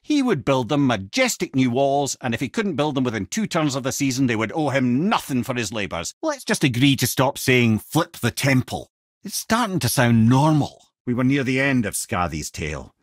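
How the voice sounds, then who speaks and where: An actor with a Scottish accent playing a wry, worldly old storyteller and adviser in a video game, husky and eloquent, speaking with theatrical flair and dry asides. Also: male voice, mid-range voice, sing-song, normal speed, dry recording. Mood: wry, conversational. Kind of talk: video game character dialogue and mythic storytelling.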